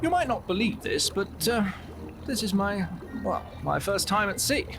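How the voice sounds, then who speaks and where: An actor playing a posh, excitable young pet mouse with a British accent, prim, polite and easily flustered, in a video game. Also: male voice, high voice, moderate pace, vocal fry, music in the background, full character voice. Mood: polite, nervous.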